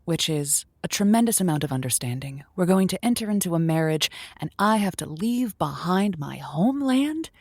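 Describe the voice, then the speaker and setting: A woman's voice: on the low side, big pitch swings, moderate pace, few pauses, a little mellow, no room sound, clean studio sound. A woman playing a perky, folksy radio advice host, effusive, earnest and reassuring, in improvised comedy.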